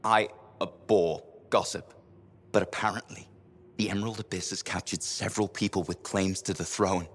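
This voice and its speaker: An actor voicing a prim, fussy, gossipy courtier with an effusive manner in a video game cutscene. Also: male voice, sits low, fairly even intonation, moderate pace. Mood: fussy, excited. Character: fussy, gossipy male courtier, primly proper.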